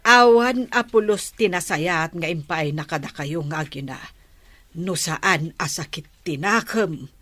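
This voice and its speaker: Slow, low-voiced Filipino mother. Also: a bit bright, no room sound. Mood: concerned. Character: gentle, slow-spoken mother counseling her grown daughter. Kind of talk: Filipino radio drama acting.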